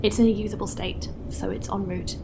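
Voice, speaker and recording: A woman's voice: medium pitch, steady tone, a little fast, background noise, close to an everyday voice. A woman playing a friendly, practical spaceship pilot in a naturalistic sci-fi audio drama, speaking casually and helpfully as she explains things. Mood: guarded, serious.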